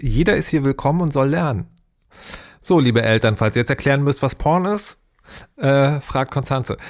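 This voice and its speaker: Guy hosting a podcast, asks lots of clarifying questions, stumbles and restarts, kinda jokey. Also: medium pitch. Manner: inquisitive, hesitant restarts, joking. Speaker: podcast host. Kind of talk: podcast discussion.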